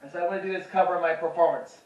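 A man in his thirties rehearsing folk songs on acoustic guitar at home, giving slow, halting introductions between songs. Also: a little high, steady tone, a little mellow. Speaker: folk musician. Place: small bedroom, indoors. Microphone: far camera. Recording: roomy, distant, dull camera audio.

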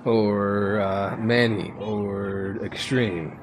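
A man's voice: on the low side, steady tone, drawn-out words, background noise. A young man on headset voice chat, teasing his friends while playing an online game. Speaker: gamer. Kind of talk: casual gaming chat.

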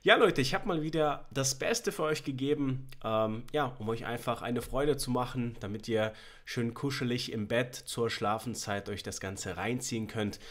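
A man's voice: medium pitch, moderate pace, few pauses, fairly bright tone. A young man, slightly under the weather, casually addressing his viewers as a channel host. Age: young adult. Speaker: YouTube host, horror story narrator. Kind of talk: casual intro chat to viewers. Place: small bedroom, indoors.

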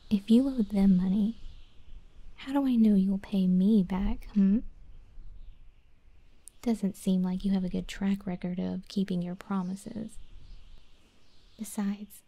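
A very soft, dark-toned whisper, close and dry in the ear. A woman plays a cocky young scorpion monster girl in an ASMR roleplay, aloof and teasing, reluctant to show her kindness. She takes her time with every slangy line. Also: mid-range voice, no echo. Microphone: close, dry ASMR microphone.

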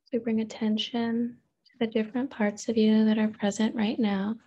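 A woman therapist leading a guided meditation in a gentle, unhurried manner, with pauses and open questions. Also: fairly high pitch, little pitch movement, highs cut off.

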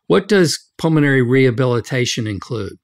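A man's voice: mid-range voice, animated, fairly quick. Formal man hosting a medical podcast. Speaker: podcast host, medical journal editor. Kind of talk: scripted podcast interviewing.

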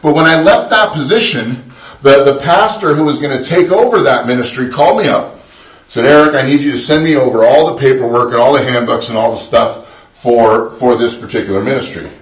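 A man's voice: mid-range voice, brisk. Middle-aged man, pastor, earnest conversational sermon, roomy echo, distant mic. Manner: earnest, conversational preaching with frequent restarts. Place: small church sanctuary, indoors. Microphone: far camera, no visible mic.

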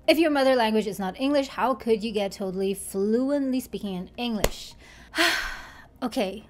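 A woman's voice: mid-range voice, darkish tone. Woman, thirties, from Germany, casual vlog talk, chatty, explains step by step, desk microphone, living room. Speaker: YouTuber. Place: bright living room indoors. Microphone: desk microphone in front.

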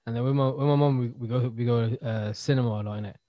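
A man's voice: mid-range voice, fairly even intonation, quick pace, no echo, lo-fi sound. A man in his twenties with a London accent, chatting and telling stories in slangy speech as a podcast co-host. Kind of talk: casual chat, storytelling. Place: indoors, remote video call.

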